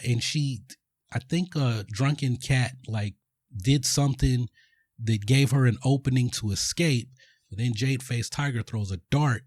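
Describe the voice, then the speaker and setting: A man in the middle of a casual podcast recap, rambling through a film plot with plenty of ums and restarts. His voice sits at an ordinary middle pitch. The recording is dry and close, with no room sound. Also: a little mellow, dry recording. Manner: rambling, filler-heavy storytelling. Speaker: podcast host.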